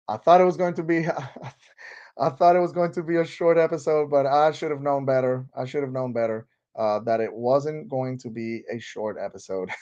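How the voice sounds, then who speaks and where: A man's voice: fairly high pitch, a little mellow, clean tone, highs cut off. A man from Puerto Rico, a native Spanish speaker with a Puerto Rican accent, hosting a casual live chat and rambling with many fillers and restarts. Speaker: live stream host.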